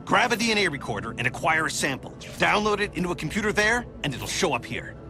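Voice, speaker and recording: Brusque sardonic game scientist hero, high animated voice, loud music behind. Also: male voice, quick pace. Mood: impatient, explanatory. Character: impatient, brusque male scientist hero guiding a partner. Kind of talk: animated video game acting.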